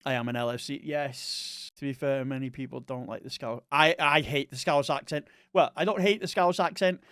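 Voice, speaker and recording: A man's voice: mid-range voice, steady tone, normal speed, a bit bright, dry recording, clean studio sound. Chatty Northwest English streamer man. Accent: Northwest English, mix of Manchester and Liverpool. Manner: rambling, chatty, self-correcting. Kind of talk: casual live-stream chat reading viewer messages.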